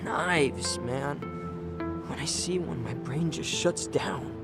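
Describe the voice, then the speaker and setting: A man's voice: fairly high pitch, slow, over loud music. An actor playing a rebellious young man with an American accent in a game dub, casual, slangy and defiant. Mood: sheepish, subdued. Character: cocky, rebellious, foul-mouthed young man, troubled son. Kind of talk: video game dub acting.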